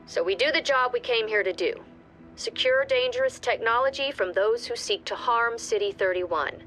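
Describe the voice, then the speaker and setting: An actress playing a composed female commander briefing her squad in a calm, measured way, with music behind. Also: fairly high pitch, lightly acted, poor audio. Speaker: mission briefing officer. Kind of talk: video game voice acting.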